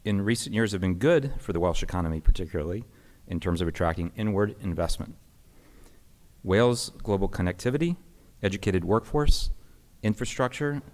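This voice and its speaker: A man with a very low voice reads a prepared introduction at a podium in a conference hall. His delivery is measured and formal, with an occasional stumble he corrects. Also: darkish tone. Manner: measured, formal reading with small slips. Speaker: host introducing a guest.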